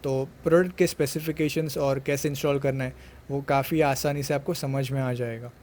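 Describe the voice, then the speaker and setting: A man's voice: medium pitch, steady tone, normal speed, a little mellow, a little hiss or hum. A man narrating a product unboxing and review, explaining things step by step and mixing in English words.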